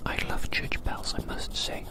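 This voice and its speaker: Soft, gentle whispering man, ASMR roleplay. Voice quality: breathy, unvoiced whisper. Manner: gentle, soothing, unhurried, repeats phrases softly. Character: gentle giant human befriending a little creature. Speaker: ASMR performer. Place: outdoors in the grass.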